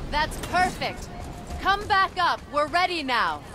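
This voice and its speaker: An actress playing a wise, measured witch goddess who guides and instructs in a fantasy video game. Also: high voice, sing-song, over loud music, full character voice. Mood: instructive. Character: wise, calm witch goddess, guiding and solemn. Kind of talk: video game cutscene dialogue. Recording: clear background noise from game ambience.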